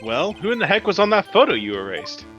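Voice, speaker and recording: A man's voice: high-pitched, huge pitch swings, fast, fairly bright tone, soft background music, heavily acted. A man voicing an exasperated, incredulous young male defense lawyer in an amateur video game read.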